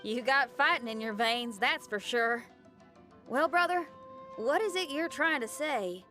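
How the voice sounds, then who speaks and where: A woman's voice: high-pitched, measured pace, sharp tone, faint music behind. A woman acting a spirited, forthright young streetwise companion in a video game cutscene, with punchy, colloquial delivery. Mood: challenging.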